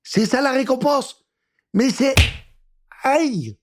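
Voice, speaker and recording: A man's voice: very high-pitched, animated, takes it slowly, background noise, pitched above the performer's own voice, exaggerated voice. A man voicing a reckless, grumbling young boy who exclaims indignantly in a children's audio story.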